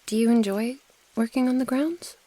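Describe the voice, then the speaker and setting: A woman playing an earnest, protective young mage girl in a fantasy ASMR roleplay, with a soft, breathy voice. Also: fairly high pitch, moderate pace, lightly acted. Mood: friendly, gentle.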